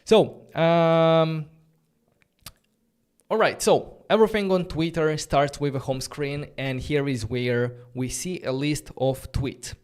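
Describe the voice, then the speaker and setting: A man's voice: fairly high pitch, fairly even intonation, takes it slowly, a little mellow, smooth. A man whose first language is Romanian teaches a coding tutorial on a live stream, thinking aloud in a casual way with many fillers and restarts, and stops to answer viewers' chat questions. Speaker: coding instructor.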